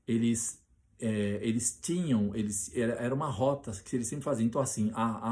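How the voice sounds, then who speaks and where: A Brazilian man preaching on a Bible passage, often restarting his sentences and slowing down to read scripture aloud with emphasis. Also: medium pitch. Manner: hesitant with many restarts, reads scripture slowly and loudly.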